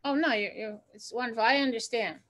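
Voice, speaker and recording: A woman's voice: on the high side, fairly quick, fairly bright tone. A woman hosting a book group over a video call, offering short, friendly and encouraging replies. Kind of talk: casual video call chat.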